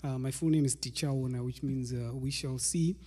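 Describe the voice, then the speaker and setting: Man in his 30s, calm, measured intro, husky low-toned voice with a long reverb tail. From Zimbabwe. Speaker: musician introducing his set. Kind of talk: spoken introduction to a live performance. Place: small indoor studio room with patterned wall hanging. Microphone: microphone on a stand in front of him.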